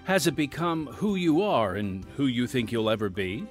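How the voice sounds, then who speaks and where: A man's voice: mid-range voice, lively intonation, moderate pace, soft background music. An American man hosting a radio drama with scripted, earnest narration. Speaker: host and announcer.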